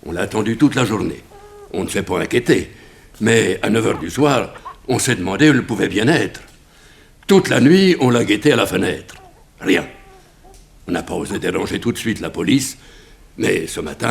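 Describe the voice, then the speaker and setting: A man's voice: on the low side, animated, moderate pace, gravelly. An actor playing an anxious, strict middle-aged immigrant father in a 1960s French radio drama, hesitant and stumbling over his words. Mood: worried.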